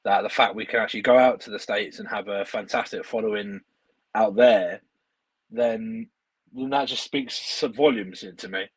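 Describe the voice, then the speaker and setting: Husky man, excited and repetitive. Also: on the low side, moderate pace, no echo, poor audio. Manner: enthusiastic, repeats himself. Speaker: guest. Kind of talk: casual chat.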